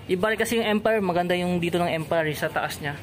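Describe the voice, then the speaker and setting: Casual shop-tour host, mid pitch, talkative and echoing; noisy store. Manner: chatty, repeats and echoes answers, quick laughs. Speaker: vlogger touring a gear shop. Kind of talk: casual on-camera chat. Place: motorcycle gear shop, indoors.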